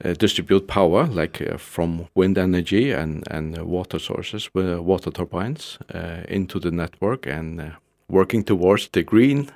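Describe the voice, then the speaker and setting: A man's voice: deep voice, moderate pace, vocal fry, hardly any pauses, darkish tone, dry recording. A middle-aged man from the Faroe Islands, a guest in a studio interview, talking casually with many fillers and restarts. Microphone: studio mic.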